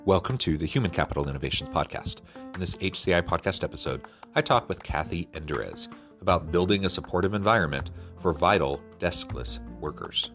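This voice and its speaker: Deep-voiced male podcast host. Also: lively intonation, fairly quick, over music. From Utah. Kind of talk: podcast interview.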